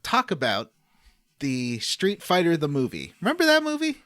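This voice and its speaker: A man with a very lively, swooping delivery, recorded dry and close. He hosts a casual gaming podcast, joking along and slipping into characters' lines as he recaps. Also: fairly high pitch, moderate pace, no room sound. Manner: jokey, acts out characters' lines while recapping. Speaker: podcast host.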